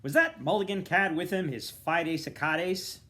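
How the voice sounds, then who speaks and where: A man's voice: high-pitched, unhurried, sharp tone, voice pushed up. A man voicing an irate, sarcastic middle-aged father in a storybook reading, snarling out theatrical, bitter invective. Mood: scornful.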